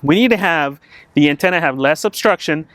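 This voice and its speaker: A man's voice: fairly high pitch, expressive, a little fast, fairly bright tone, no room sound. A man hosting a vehicle install video, talking casually and explaining to the viewers in an informal, conversational way. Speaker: host of an off-road vehicle channel. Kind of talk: casual install tutorial to camera.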